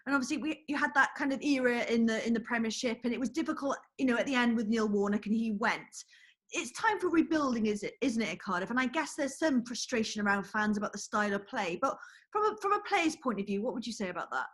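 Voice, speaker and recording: Fast-talking woman sports reporter. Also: fairly high pitch, lively intonation, a bit bright. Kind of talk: interviewer questions.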